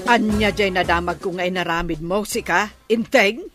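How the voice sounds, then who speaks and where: A woman's voice: lowish voice, normal speed, music in the background, natural voice. A woman playing a stern, scolding village woman in an Ilocano comedy radio drama, with a Filipino accent.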